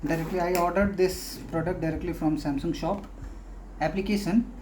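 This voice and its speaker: A woman hosting a casual product unboxing, talking haltingly in short phrases with pauses. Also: on the low side, steady tone, noisy background. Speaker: unboxing video host.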